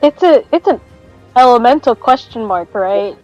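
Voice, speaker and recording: A woman's voice: a little high, lively intonation, moderate pace, darkish tone, dull audio, faint music behind. A woman chatting casually as a player in a tabletop roleplaying game.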